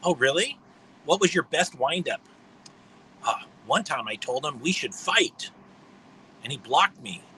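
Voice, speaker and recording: A man in his fifties with a high voice, hosting a rambling livestream monologue in a blunt, mocking way. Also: animated, measured pace, pauses often, sharp tone, a little hiss or hum, rough recording. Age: 50s. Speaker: livestream host.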